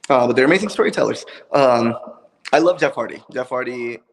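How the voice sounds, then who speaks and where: Young guy with a lisp, raspy low fry, echoey reverby sound, laid-back joking wrestler. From Puerto Rico. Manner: relaxed, joking, halting with ums. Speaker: wrestler, guest. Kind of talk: casual interview answers. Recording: long reverb.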